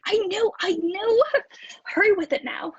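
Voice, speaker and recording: A woman playing a timid, eager-to-please landlady in a radio play, hesitant and stammering, with a hushed, breathy delivery. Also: very high voice, measured pace, dry recording, lo-fi sound. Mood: excited, bustling. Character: timid, eager-to-please married landlady in an old British lodging house. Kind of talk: old-time radio play acting.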